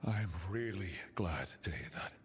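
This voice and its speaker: An actor playing a brash, defiant young assassin who leads a team in a video game, speaking with confident insistence. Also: male voice, low voice, lively intonation, unhurried, soft background music. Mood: earnest, urgent. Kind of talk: dramatic video game dialogue. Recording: long reverb.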